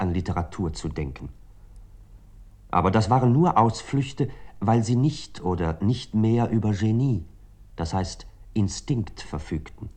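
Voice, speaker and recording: An actor playing a reflective, earnest writer in a literary radio play, speaking in a measured, declamatory way. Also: male voice, fairly low pitch, darkish tone, husky, full character voice. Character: reflective male writer, earnest and resolute about art. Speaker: actor playing the first-person narrator.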